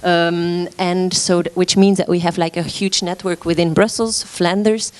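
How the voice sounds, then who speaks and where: A woman's voice: fairly low pitch, steady tone, a little slow. A woman presenting her arts organization at a meeting, speaking hesitantly with frequent filler sounds and restarts. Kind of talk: conference presentation.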